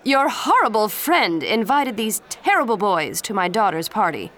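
A woman voicing a harsh, snobbish middle-aged aunt who shouts. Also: mid-range voice, very animated, moderate pace. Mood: indignant. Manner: loud shouting.

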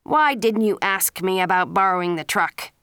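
A woman's voice: mid-range voice, lively intonation, moderate pace, fairly bright tone, clean tone, no echo, clean studio sound, lightly acted. A woman reading the lines of a harsh, angry father who is a police chief, scolding his son.

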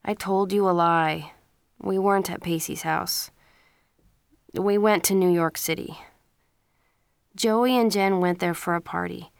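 A woman's voice: fairly low pitch, fairly even intonation, a little slow, gravelly, long pauses, clean tone, no room sound. Female reader voicing a remorseful teenage boy, earnest, dry close mic. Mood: apologetic.

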